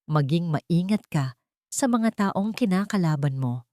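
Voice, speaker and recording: A woman's voice: fairly low pitch, expressive, measured pace, warm tone, no echo, studio quality. Woman acting haughty, sneering Filipino rich matriarch. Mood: threatening. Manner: sneering, menacing. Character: haughty, scornful rich matriarch, cruel mother-in-law.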